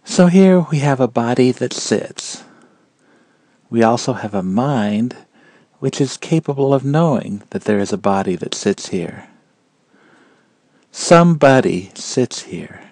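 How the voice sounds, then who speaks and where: A man guiding a meditation in a calm, measured and gentle manner, leaving long pauses between phrases. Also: medium pitch, no room sound. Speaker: meditation guide. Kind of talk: guided meditation narration.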